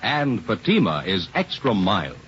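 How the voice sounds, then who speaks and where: A man gives an old radio sales pitch, his mid-pitched voice swinging widely and emphatically. The recording is lo-fi, with faint noise. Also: wildly swooping pitch, measured pace. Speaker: commercial announcer. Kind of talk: 1950s radio advertising pitch. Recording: dull, faintly noisy vintage radio recording.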